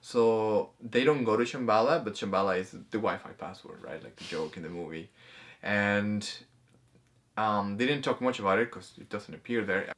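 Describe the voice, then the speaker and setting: A man's voice: fairly low pitch, animated, moderate pace, fairly bright tone. A man co-hosting a casual movie discussion, explaining things in a hesitant, self-correcting way. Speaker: co-host of a spirituality review show.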